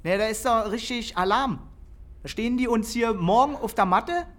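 An actor playing a brash, scheming, irritable village man in a naturalistic German radio drama, talking gruff, colloquial and bossy. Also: very high-pitched, normal speed, fairly bright tone. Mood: scheming, insistent.

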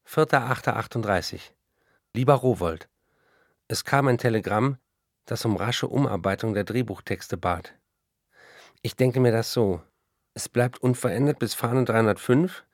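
An actor in a radio play voicing an embattled, wry German novelist of the 1930s, reading out letters and diary entries. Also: male voice, medium pitch, moderate pace, long pauses, no echo, studio quality, close to an everyday voice. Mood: businesslike.

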